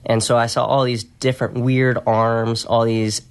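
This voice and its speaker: A soft-spoken man talking in an easygoing, reflective way as a podcast guest, telling stories about his work in a conversational interview. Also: medium pitch, level tone, moderate pace, clean tone. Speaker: podcast guest, strength and conditioning coach.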